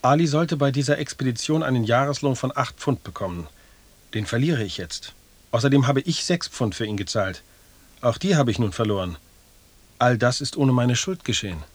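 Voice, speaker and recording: A man's voice: mid-range voice, lively intonation, moderate pace, dry recording, lightly acted. An actor playing a proud, stubborn African man seeking compensation in a German radio drama, deferential in address yet insistent. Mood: aggrieved.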